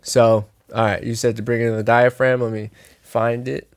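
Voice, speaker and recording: A man's voice: medium pitch, little pitch movement, normal speed, a little hiss or hum. A young man with a nasal, throaty voice, joking around and rambling as a podcast co-host. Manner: joking, self-mocking, rambling with fillers. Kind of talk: casual chat.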